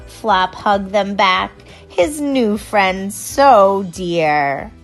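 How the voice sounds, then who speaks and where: A woman reading a rhyming children's storybook aloud in an expressive, unhurried storytelling manner. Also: medium pitch, soft background music. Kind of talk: storybook read-aloud in rhyme.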